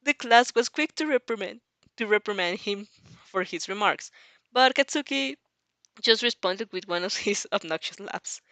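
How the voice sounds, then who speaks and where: Female amateur story reader, informal, giggly, stumbles on lines, dry close recording, mid pitch. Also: animated, normal speed, pushed and bright, no echo. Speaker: fanfic reader. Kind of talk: informal story narration with asides.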